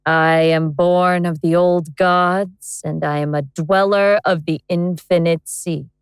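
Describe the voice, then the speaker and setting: Horror audio drama: actress as a menacing elder goddess, disdainful and grandiose, unhurried delivery, close dry sound. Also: female voice, lowish voice, steady tone, clean tone, no echo. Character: cold, contemptuous ancient elder goddess awakening to devour humanity.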